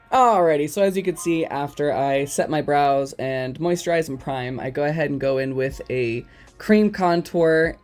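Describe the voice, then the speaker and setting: A man in his twenties, a drag queen, talking through his makeup routine in a casual, self-mocking way, with lots of ums and quick giggles. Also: a little high, darkish tone. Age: 20s. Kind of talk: casual makeup tutorial commentary.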